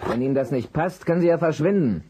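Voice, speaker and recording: A man playing a gruff, hostile old man with a dog in a German audio drama, curt and dismissive. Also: fairly high pitch, expressive, fast, mellow, a little hiss or hum, lightly acted. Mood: irritated, hostile. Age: older.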